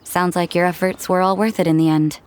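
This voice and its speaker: An actress playing a cool, detached young woman in a video game, speaking in a measured, dry, unsentimental way. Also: lowish voice, flat delivery, noisy background, lightly acted. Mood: reflective. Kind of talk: English video game character dialogue.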